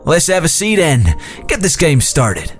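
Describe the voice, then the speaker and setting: A man playing a genteel, smooth-talking western businessman with an unctuous, formal manner in an audio drama. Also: fairly high pitch, sing-song, a little slow, over music. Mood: jovial, courtly.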